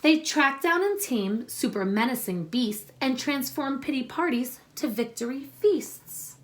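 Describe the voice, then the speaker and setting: A woman teacher reading a picture book aloud to children, expressive and instructive, pausing to explain and ask questions. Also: high voice, slight background noise. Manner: deliberate, instructive, addressing young listeners with questions.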